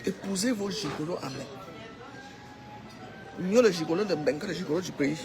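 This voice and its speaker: A woman's voice: lowish voice, normal speed, music in the background. A woman with a Cameroonian accent giving an informal, opinionated monologue to followers, exhorting them and repeating phrases for emphasis. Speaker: social media commentator.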